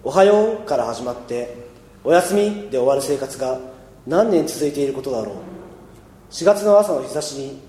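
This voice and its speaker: A man playing a laid-back, dryly sarcastic high school boy who narrates and acts in an amateur radio drama. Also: expressive, normal speed, warm tone, lightly acted. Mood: wry, reflective. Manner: dry, mildly sarcastic. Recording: echoey, long reverb.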